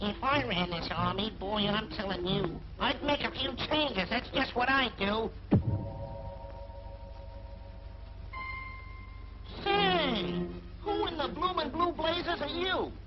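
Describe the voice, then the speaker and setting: A cocky young cartoon soldier who complains in slangy, sing-song rhyme. His pitch leaps all over the place. It comes from a dull 1940s film soundtrack with loud music underneath. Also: expressive, measured pace. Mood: indignant. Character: brash, griping young army private, cocky and whiny. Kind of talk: rhyming 1940s wartime cartoon acting.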